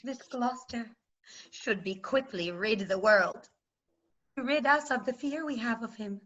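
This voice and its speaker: A woman's voice: on the high side, a little slow, a bit bright. A woman playing a proud, passionate medieval queen in classical verse, recorded over a video call. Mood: scheming. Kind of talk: classical verse stage acting. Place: remote staged reading over video call. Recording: dull online video-call audio.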